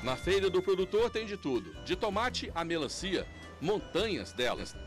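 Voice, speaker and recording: A Brazilian man TV reporter reading a scripted news feature in a lively, informative way. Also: high-pitched, moderate pace, soft background music. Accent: Brazilian Portuguese.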